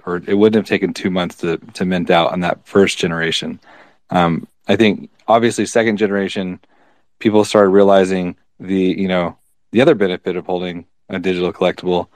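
A man answering as a panelist in a casual discussion, explaining his view in a measured way with pauses. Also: deep voice, no echo.

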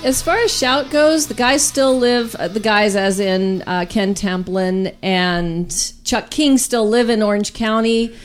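A woman's voice: medium pitch, steady tone, unhurried, hardly any pauses, faint music behind. A woman chatting casually as a guest on a podcast. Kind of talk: casual chat.

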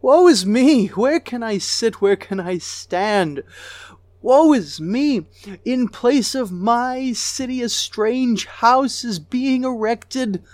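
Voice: medium pitch, animated, takes it slowly, a little mellow, dry recording. A reader voicing a grieving goddess queen of a ruined city, lamenting with a voice that breaks into sobs. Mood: mournful. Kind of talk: dramatic recitation of an ancient lament.

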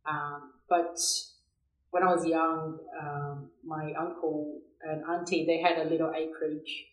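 A woman with a low voice and a Brazilian accent speaks as a guest in an interview. She talks candidly and haltingly, circling back over words to find her phrasing. The room around her sounds echoey. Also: flat delivery. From São Paulo, Brazil. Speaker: podcast guest, agribusiness professional. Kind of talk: candid conversational interview answers.

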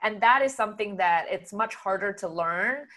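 A woman from Singapore chatting casually in an agreeable, measured way. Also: mid-range voice, fairly bright tone. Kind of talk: casual chat.

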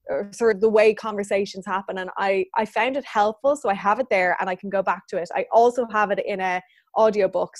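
A woman in her thirties, a business owner being interviewed, talks in a chatty, earnest way and laughs easily. Her voice sits at a middle pitch for a woman. The sound is dry and close. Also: no room sound. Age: 30s. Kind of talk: interview answers.

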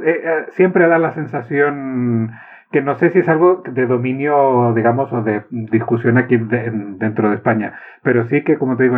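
A man from Chile with a Chilean accent, hosting a casual conversation and asking curious, relaxed questions. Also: medium pitch, husky. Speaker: podcast host. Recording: tinny phone-like line.

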